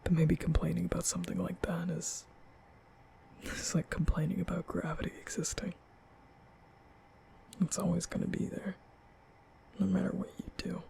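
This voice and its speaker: A man playing a nervous, apologetic young vampire in an intimate ASMR roleplay, speaking breathily and often half-whispering, halting and stammering. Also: high voice, flat delivery, creaky, dark tone. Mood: weary, frustrated. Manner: halting, stammering, rambling, sighing. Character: nervous, apologetic young male vampire, guilt-ridden and soft.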